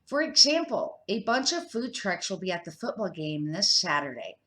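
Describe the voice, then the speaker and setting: A woman voicing a friendly woman who owns a food truck, in a raised, bright reading voice. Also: mid-range voice, sing-song, normal speed.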